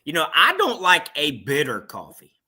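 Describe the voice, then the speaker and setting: A man's voice: on the high side, wildly swooping pitch, moderate pace, pushed and bright, husky. A man co-hosting a casual morning talk show, making short, emphatic remarks. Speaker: co-host.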